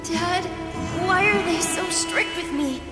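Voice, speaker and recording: A man's voice: very high-pitched, slow. An actor playing a resentful young son pleading with his strict father in a video game, bitter and pleading. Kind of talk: video game cutscene voice acting. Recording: echoey, reverberant flashback over loud music.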